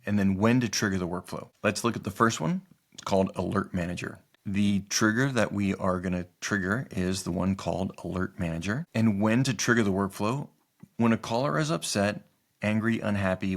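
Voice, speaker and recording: A man narrating a step-by-step software tutorial as its host, explaining each part in an instructional way. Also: lowish voice, creaky.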